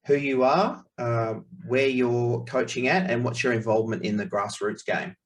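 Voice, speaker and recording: A man's voice: medium pitch, normal speed. A man hosting a rugby coaching podcast, reading his intro steadily and asking easygoing questions. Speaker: podcast host. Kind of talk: scripted podcast intro and casual interview.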